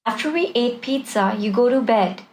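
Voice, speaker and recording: A woman's voice: a little high, normal speed, a little mellow, slight background noise, natural voice. A woman playing a caring, anxious seventeen-year-old girl in a film script table read. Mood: tender, hopeful.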